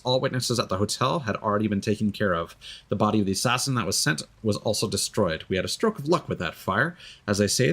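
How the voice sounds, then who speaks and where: A man streaming a video game, talking through it in an expressive, playful way with casual swearing. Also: on the low side. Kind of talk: gameplay stream commentary with dramatic reading aloud of in-game documents.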